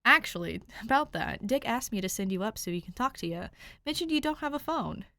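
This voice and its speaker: A woman's voice: a little high, lively intonation, a little fast, bright, forceful tone, no echo, voice pushed up. A woman voicing a bulky tattooed male security guard who talks with a friendly city drawl. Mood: gentle.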